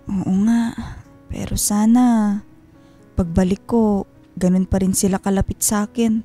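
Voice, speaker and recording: A woman's voice: mid-range voice, steady tone, a little slow, warm tone, faint music behind, close to an everyday voice. A woman playing a loving, homesick mother in a radio drama, speaking tenderly and plaintively. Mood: longing, sad. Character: loving, homesick mother working abroad, talking to her husband. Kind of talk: emotional radio drama dialogue.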